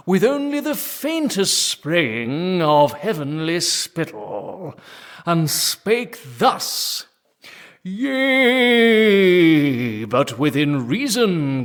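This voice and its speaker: Pompous old priest for comic audio drama: high-pitched, very slow, declamatory. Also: male voice, a bit bright, heavily acted. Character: pompous elderly priest telling mock-biblical tales. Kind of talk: comic audio drama acting, archaic preaching.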